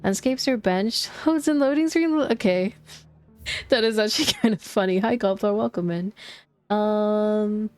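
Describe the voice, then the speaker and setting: A woman's voice: medium pitch, unhurried, darkish tone, clean tone, dry recording, faint music behind. A young woman with a posh British accent tinged with American, chatting casually and laughing often while streaming a game. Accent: posh British with slight American twinge. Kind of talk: casual chat while gaming.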